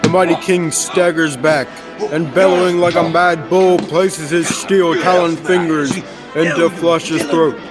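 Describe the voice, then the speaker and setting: A man's voice: fairly high pitch, fairly even intonation, a little slow, close to an everyday voice. Teen boy as a theatrical radio serial announcer. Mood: dramatic. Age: teenager. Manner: florid, theatrical. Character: dramatic old-time radio serial announcer. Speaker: student actor, narrator. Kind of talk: scripted dramatic narration. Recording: music behind the voice.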